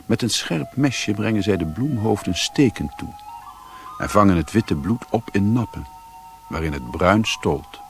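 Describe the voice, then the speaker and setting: An actor playing a solemn storyteller, narrating a literary radio drama in a deliberate manner. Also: male voice, lowish voice, animated, vocal fry, soft background music.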